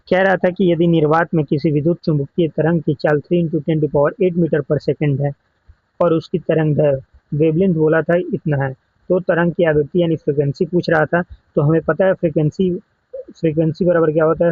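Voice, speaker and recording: A man with an Indian accent and a slightly high, husky voice explains exam questions step by step as a coaching teacher. He slips English technical terms into his explanations. The recording is dry and somewhat dull. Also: fairly even intonation, fairly quick, no echo. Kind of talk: exam question walkthrough lesson.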